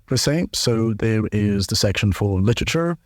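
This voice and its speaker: Man, low, dry voice. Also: moderate pace, no echo. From South Africa. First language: isiZulu. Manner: relaxed, explanatory, with many fillers and restarts. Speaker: guest, linguist and musician. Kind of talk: casual interview chat.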